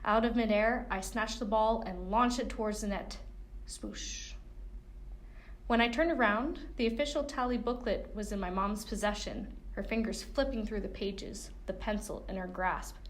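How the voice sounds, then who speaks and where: A woman's voice: medium pitch, moderate pace. A woman reading a first-person coming-of-age novel excerpt as narrator. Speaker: reader of a book excerpt.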